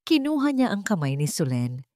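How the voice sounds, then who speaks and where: Low-voiced Filipino woman, dramatic storyteller. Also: big pitch swings, a little fast, mellow, dry recording, studio quality. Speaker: story narrator. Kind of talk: dramatic scripted romance narration.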